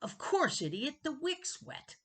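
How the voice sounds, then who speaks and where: A woman's voice: high voice, sing-song, a little slow, fairly bright tone, pitched above the performer's own voice, exaggerated voice. A woman voicing a scared but determined boy hero in a storybook reading, calling out in an urgent, strained way. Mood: tense, self-scolding.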